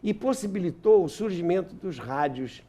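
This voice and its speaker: A man's voice: sits high, animated, moderate pace, a crowd in the background. An older man with a Brazilian accent telling anecdotes and explaining history as an interview guest, repeating phrases for emphasis.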